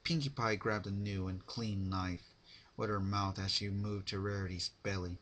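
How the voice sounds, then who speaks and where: A man narrating fan fiction as a dramatic reading, with deliberate phrasing. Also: fairly low pitch, fairly even intonation, fairly bright tone, a little hiss or hum.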